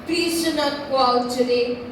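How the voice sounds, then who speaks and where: A woman's voice: high-pitched, fairly even intonation. Casting: young woman to play anxious Roman wife in a stage tragedy, dramatic and pleading, heard far off with noise. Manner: slow, dramatic declaiming. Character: Calpurnia, Caesar's frightened, pleading wife. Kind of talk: school stage play declamation. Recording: distant, very echoey hall, clear noise.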